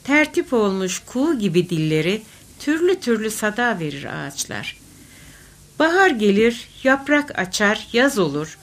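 A woman reciting folk poetry expressively, with measured, declamatory phrasing. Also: mid-range voice, big pitch swings. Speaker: poetry reader.

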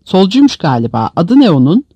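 Man acting a high-pitched, harsh army commander. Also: huge pitch swings, a little fast, husky, dry recording, heavily acted. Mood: commanding. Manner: forceful, authoritative, shouted. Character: commanding, harsh male military officer barking orders. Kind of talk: dramatized audiobook reading.

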